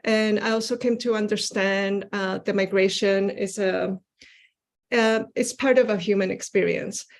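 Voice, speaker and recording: A woman from Ecuador giving an earnest seminar talk about her art over a video call, pausing often with "uh" and "um". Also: medium pitch, fairly even intonation, gravelly. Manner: earnest, with frequent "uh" and "um" fillers. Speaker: guest artist presenting her work. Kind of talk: online seminar presentation with slides.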